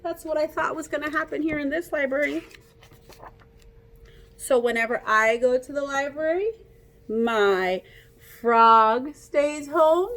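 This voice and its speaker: A woman reading a picture book aloud to children like a teacher, in an animated, playful way, coaxing young listeners to join in. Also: high-pitched, a little slow, long pauses.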